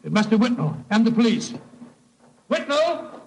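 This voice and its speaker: A creaky, high-pitched older British gentleman in vintage radio drama. He is fussy and blustery, a loyal companion given to grumbling. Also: big pitch swings, moderate pace, a little hiss or hum, exaggerated voice, poor audio. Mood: anxious, indignant. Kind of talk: 1940s radio drama acting.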